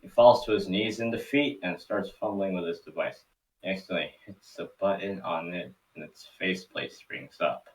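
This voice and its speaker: A man's voice: low voice, steady tone, normal speed, far from the mic, low-quality recording. A man narrating stage directions for a cartoon table read in a scripted storytelling style.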